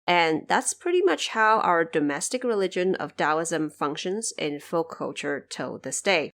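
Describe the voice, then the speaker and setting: A woman with a slightly low voice hosts a podcast. She explains her subject in a casual, conversational style. Her delivery is wry, and she leans on key words for emphasis. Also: fairly bright tone. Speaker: podcast host.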